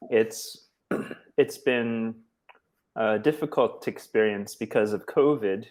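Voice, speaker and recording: Relaxed, encouraging man tutor. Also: on the low side, slow. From United States. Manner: relaxed, encouraging, many short affirmations. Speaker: English tutor. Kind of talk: casual tutoring chat. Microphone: video call.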